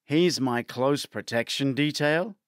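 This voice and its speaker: A man's voice: mid-range voice, slow pace, dry recording, studio quality, close to an everyday voice. A man voicing an injured, sardonic male investigator in an audiobook, terse and questioning. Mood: confused. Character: injured groggy male investigator, blunt, sardonic, demanding answers.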